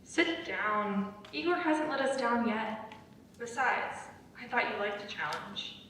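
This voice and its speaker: Woman acting slow, high-voiced young hiker, echoey. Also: expressive, a bit bright. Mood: teasing. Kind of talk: staged dramatic script reading. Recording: very echoey, distant, low noise.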